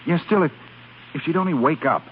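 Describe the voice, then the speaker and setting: An actor playing a suave young man in 1930s radio drama style, with a smooth, amused and lightly teasing manner. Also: fairly high pitch, a little fast, muffled recording, close to an everyday voice. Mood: amused, affectionate. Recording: thin, noisy 1930s radio recording.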